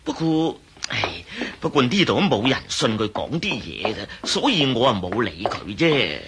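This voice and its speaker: An actor playing a talkative, cautious archaeology professor in a radio drama, with a Hong Kong Cantonese accent and hesitant, muttering delivery. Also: male voice, medium pitch, big pitch swings, moderate pace, noisy background. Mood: dismissive, gossipy. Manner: hesitant, mumbling fillers, explanatory.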